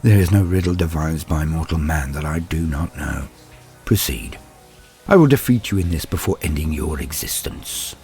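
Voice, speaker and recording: A man voicing an arrogant, possessed assassin in an audio story, husky, sneering and deliberate in his menace. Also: rumbling low, expressive, darkish tone, faint music behind, voice pushed down. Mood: cold, impatient.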